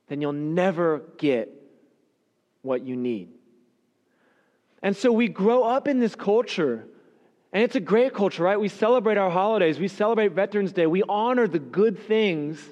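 Hoarse pastor preaching in a reverberant church. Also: male voice, a little high. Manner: earnest, emphatic preaching cadence. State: losing his voice, raspy. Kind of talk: sermon with scripture reading and prayer. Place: church sanctuary. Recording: long hall reverb.

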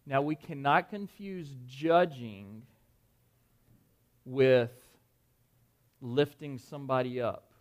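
A man's voice: medium pitch, takes it slowly, long pauses, darkish tone. A man preaching an interactive sermon to a live audience in a dim room, talking conversationally, quizzing listeners and often repeating words. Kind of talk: interactive Bible-study sermon.

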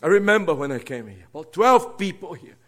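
A man's voice: a little high, sing-song, fairly quick. Brazilian-accented man preaching a sermon. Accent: Brazilian Portuguese. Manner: earnest, swings between soft asides and emphatic rises. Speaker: guest preacher, seminary teacher.

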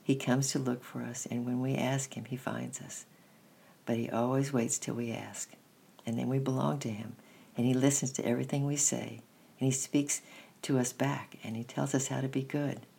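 A reader voicing an earnest young girl who shares her secret, read in a gentle storybook style with a creaky, husky voice. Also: medium pitch, level tone, normal speed.

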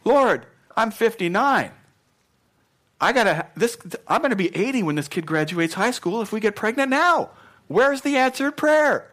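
Guy doing an impatient older man begging god, voice shooting up high and loud, drawn out and whiny. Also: very animated, normal speed. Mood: exasperated pleading. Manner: exasperated, pleading, overblown complaints. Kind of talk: comic acted quote within a sermon.

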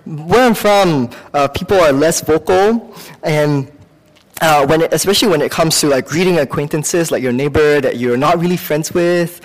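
A man from Singapore with a Singaporean accent preaches in a conversational, halting way, weaving in personal anecdotes and correcting himself as he goes. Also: fairly high pitch. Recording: long hall reverb.

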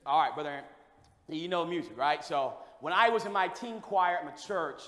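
High-voiced preacher in an echoey church hall. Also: male voice, animated, fairly quick. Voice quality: loud voice. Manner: builds from quiet storytelling to shouted preaching. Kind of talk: sermon with storytelling. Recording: echoey hall with long reverb.

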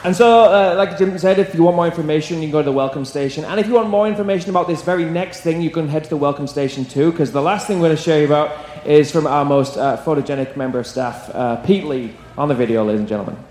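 Brisk pastor in an echoey sanctuary. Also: male voice, on the high side, a little mellow, slight background noise. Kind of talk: sermon introduction. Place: church sanctuary. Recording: echoey hall, faint ambience.

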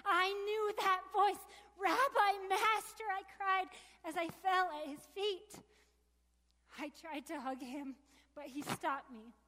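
Woman acting as a devoted woman disciple, high voice, slow heartfelt telling, long hall echo. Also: fairly even intonation, pushed and bright, exaggerated voice. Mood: joyful. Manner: heartfelt, emotionally charged delivery. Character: grieving devoted woman disciple, earnest and emotional. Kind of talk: first-person dramatic monologue. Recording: long echoing reverb of a large hall.

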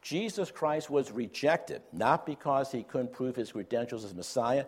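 A man's voice: medium pitch, animated, moderate pace, slightly rough. A man preaching a sermon, earnest, with light humor and frequent fillers and restarts.

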